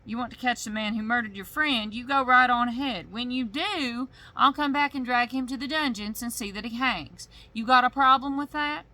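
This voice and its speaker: A woman's voice: fairly high pitch, normal speed, few pauses, voice pushed up, rough recording. A woman voicing a defensive, overworked male village sheriff in a thick put-on drawl during a bedtime story reading. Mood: indignant. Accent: put-on thick rural drawl. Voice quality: loud, indignant, rising when challenged.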